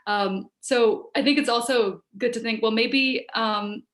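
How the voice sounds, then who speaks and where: A woman in her thirties, a native Hungarian speaker, talking informally and haltingly as she answers questions as a writer on a video call. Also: fairly high pitch, fairly bright tone. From United States, Hungarian-speaking home. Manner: thoughtful, halting, thinking aloud. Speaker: writer giving an online workshop. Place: book-lined room at home. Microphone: video call, wearing headphones.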